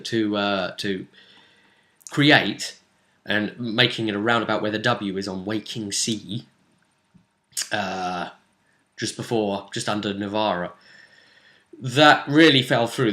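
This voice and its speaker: A man's voice: medium pitch, sharp tone. A man casually recapping a tabletop game as host and game master, acting out quotes as he retells it.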